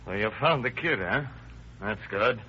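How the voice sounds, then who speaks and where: An American actor playing a sullen, taunting confessed murderer in 1930s radio style, with slangy, defiant talk. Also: male voice, fairly low pitch, expressive, measured pace, husky. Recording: dull, hissy old radio recording.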